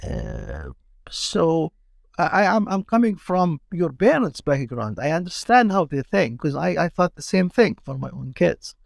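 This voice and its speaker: A middle-aged man from Palestine, a teacher speaking as a guest in a casual interview, halting and often repeating his words. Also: on the high side, wildly swooping pitch, gravelly, no echo, studio quality.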